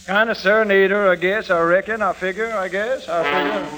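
An actor playing a bashful, dim-witted lovesick cowboy with a folksy drawl in a 1940s radio comedy. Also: male voice, high voice, bright, forceful tone. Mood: folksy, hollering. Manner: slow, rambling, hollering. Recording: old hissy radio recording, audience, music.

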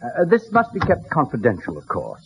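Flustered, secretive gentleman, animated pitch. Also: a little fast. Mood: anxious, earnest. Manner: hesitant, formal, fussy. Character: flustered, secretive, earnest son with grievance. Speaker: actor. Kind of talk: 1940s-50s radio comedy acting. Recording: noisy old radio broadcast.

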